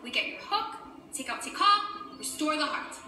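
Bright, high, determined teenage girl. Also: animated, normal speed, full character voice. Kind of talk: animated film character dialogue. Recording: echoey, distant, faint ambient noise.

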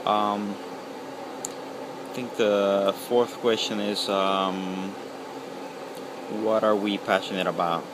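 A man in his thirties from El Salvador talks slowly into a close webcam, his voice sitting on the low side. He pauses often, filling the gaps with ums and uhs. An air conditioner hum and outdoor noise stay clearly audible behind him. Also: flat delivery. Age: 30s. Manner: halting, full of ums and uhs. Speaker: pipe-smoking video blogger. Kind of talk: casual video reply. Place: outdoors beside a house. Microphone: webcam at close range.

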